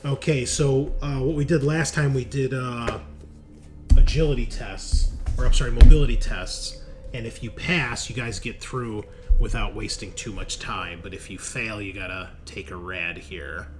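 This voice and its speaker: A man's voice: mid-range voice, steady tone, normal speed, over music. A man acting as game master, narrating a tabletop roleplaying game in an informal, conversational way. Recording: noisy recording.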